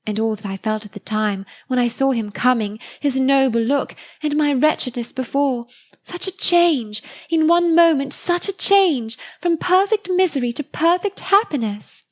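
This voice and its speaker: A woman's voice: high-pitched, normal speed, hardly any pauses, voice pushed up, natural voice. A woman reading as a timid, gushing young woman.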